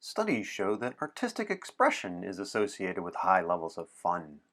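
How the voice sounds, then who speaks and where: A man acts out a serious little boy from a storybook. He speaks in precise, formal words and sounds fussy and easily exasperated. His pitch moves about expressively. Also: wildly swooping pitch, moderate pace, fairly bright tone, heavily acted. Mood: prim, businesslike.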